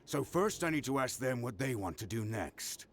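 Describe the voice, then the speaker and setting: A steady army leader in a video game, bit of fry in the voice, lively pitch, measured and in charge. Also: male voice. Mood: grave, composed. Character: steady military commander organizing an evacuation. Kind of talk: scripted video game dialogue.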